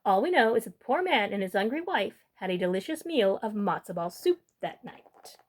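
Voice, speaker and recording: A woman's voice: mid-range voice, big pitch swings, moderate pace. A woman hosting a storytime, reading a children's book expressively and adding informal asides. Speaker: storytime host reading aloud.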